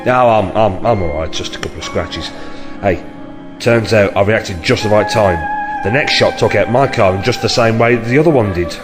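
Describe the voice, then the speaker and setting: A man playing a brave, self-deprecating policeman in an audio drama, talking in a casual, halting way. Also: lowish voice, over music, close to an everyday voice. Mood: sheepish, shaken.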